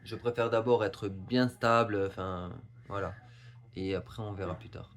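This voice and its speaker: A man's voice: lowish voice, fairly even intonation, normal speed. A man in his thirties chatting casually beside his partner, hesitant and full of fillers. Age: 30s. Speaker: vlogger's partner. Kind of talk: casual chat.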